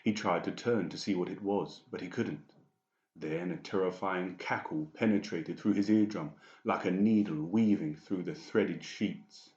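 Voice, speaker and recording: Casting: male audiobook narrator, very low voice, echoey and slightly muffled recording. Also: a little slow, darkish tone. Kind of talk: scripted fiction narration. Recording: dull, echoey room recording.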